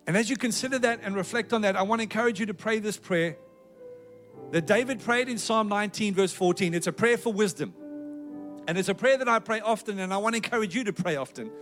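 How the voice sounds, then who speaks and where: A man's voice: high-pitched, fast, a bit bright, faint music behind. A South African man preaching a sermon with a South African accent, in an earnest, emphatic exhorting manner. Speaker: pastor.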